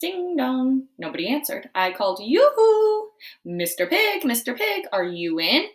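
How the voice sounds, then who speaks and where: An aggrieved storybook wolf, played by a woman pleads his own case in a high, very animated voice. He is chatty and confiding, full of exaggerated indignation and excuses. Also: a little slow. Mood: chatty, calling out. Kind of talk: animated read-aloud storybook narration.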